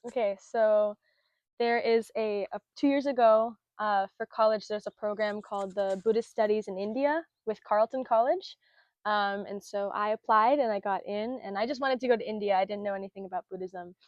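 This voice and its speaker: A woman's voice: medium pitch, moderate pace, no room sound. Earnest young woman, muffled and dry. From Florida, United States. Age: 20s. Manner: earnest, lots of fillers and laughs, acts out quotes. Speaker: interviewee, Buddhist student. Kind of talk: casual spoken interview.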